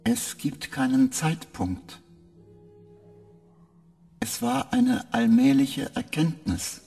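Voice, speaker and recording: Weary ship computer: slow, halting, literal, gravelly, over soft music. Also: male voice, on the high side, huge pitch swings, exaggerated voice. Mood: flat. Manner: halting, clipped literal replies. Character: weary male spaceship computer, flat and literal.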